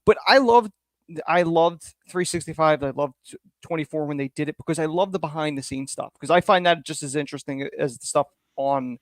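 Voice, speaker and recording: A man's voice: fairly high pitch, brisk, no room sound. A man co-hosting a casual wrestling podcast, thinking aloud in an earnest way, with frequent fillers. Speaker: podcast co-host. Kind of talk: casual opinion chat.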